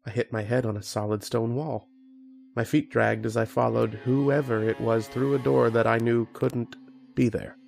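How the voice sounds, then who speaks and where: An actor playing a haunted, fearful scientist who narrates his ordeal in a dramatic, halting horror radio monologue. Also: medium pitch, little pitch movement, vocal fry, darkish tone, faint music behind. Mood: hushed dread. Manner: dramatic, halting, repeating phrases.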